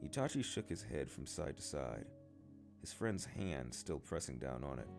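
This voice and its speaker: A man's voice: very deep voice, very animated, normal speed, creaky. A man reading a light novel aloud in the style of an amateur audiobook. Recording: soft guitar music behind. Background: plucked guitar music.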